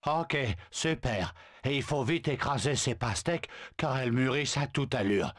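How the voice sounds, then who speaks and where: A man's voice: mid-range voice, normal speed, a bit bright, no room sound, clean studio sound, close to an everyday voice. An actor voicing a boastful, lovestruck male suitor who stammers when flustered. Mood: smitten. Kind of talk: video game dubbing.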